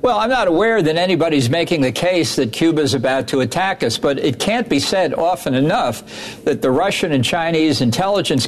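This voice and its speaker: A man, a former ambassador, speaking as an interview guest in a measured way, often repeating words as he starts sentences. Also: fairly high pitch, a little hiss or hum. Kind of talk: news interview.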